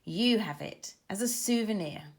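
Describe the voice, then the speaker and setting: A woman's voice: medium pitch, animated, a little slow. A woman voicing a practical, encouraging firefighter colleague in a children's storybook. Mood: admiring.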